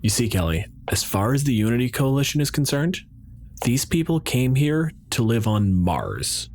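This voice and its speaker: Man playing a deliberate, coolly authoritative colonial president. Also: medium pitch, big pitch swings, darkish tone. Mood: firm, unyielding. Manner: deliberate, formal, halting with pointed pauses. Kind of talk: improvised roleplay dialogue.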